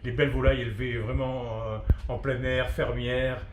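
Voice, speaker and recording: A man's voice: medium pitch, flat delivery, moderate pace. A middle-aged man from France, answering casually as a restaurant cook being interviewed, hesitant and repeating words as he searches for phrasing. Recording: echoey room with faint noise.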